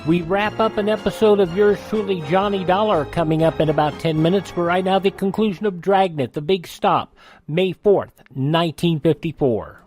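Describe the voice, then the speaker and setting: A man's voice: high voice, moderate pace, darkish tone, soft background music. A man hosting a radio show, reading scripted announcements and promos. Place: close, dry studio.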